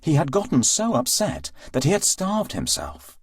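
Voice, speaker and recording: A man reads a children's story with extremely lively, swooping intonation. His medium-pitched voice is a little husky. It is recorded dry, with faint music underneath. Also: normal speed, dry recording. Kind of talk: scripted children's story narration.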